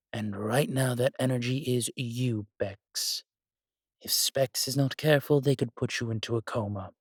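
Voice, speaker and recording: Man playing a husky, blunt male spirit. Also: medium pitch, no room sound, studio quality, lightly acted. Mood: grave. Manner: blunt, matter-of-fact. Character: blunt, protective male spirit bonded to a human. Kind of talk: audio roleplay acting.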